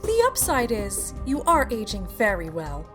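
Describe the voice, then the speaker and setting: A woman's voice: medium pitch, wildly swooping pitch, unhurried, music in the background. A woman voicing a sugary fairy queen with a violent temper, sweetly condescending until she shrieks, in a comic fan dub.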